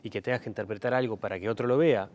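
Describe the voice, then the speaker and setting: A man asks questions at a brisk pace. He affirms often with short interjections. Faint background noise sits under his voice. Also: fairly low pitch, a little mellow.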